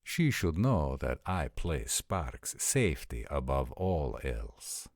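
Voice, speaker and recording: A courteous vampire prince played by a man in an audio drama. His voice is low and his speech formal and guarded. The sound is dry and intimate. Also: big pitch swings, no room sound, heavily acted. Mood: courteous, earnest. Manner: formal, polite, measured. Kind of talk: roleplay audio drama acting.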